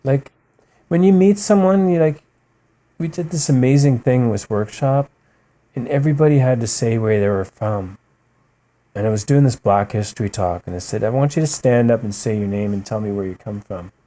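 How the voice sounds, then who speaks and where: A man's voice: mid-range voice, normal speed. A man, a guest on a podcast, talking passionately in a rambling, casual way, often adding "man" and "you know" and acting out quotes as he tells stories. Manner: animated storytelling with voiced quotes. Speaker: podcast guest, musician and writer. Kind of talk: casual interview talk.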